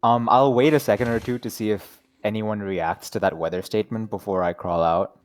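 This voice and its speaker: A man's voice: on the low side, steady tone, fairly quick. A man playing in a casual online tabletop game, talking in a laid-back, chatty way and laughing often. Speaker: player.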